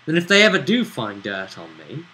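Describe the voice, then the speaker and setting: A man's voice: on the high side, sing-song, moderate pace, sharp tone, rough recording. A man acting as game master, recapping a tabletop role-playing session in an explanatory, conversational way with frequent tag questions. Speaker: game master and show host.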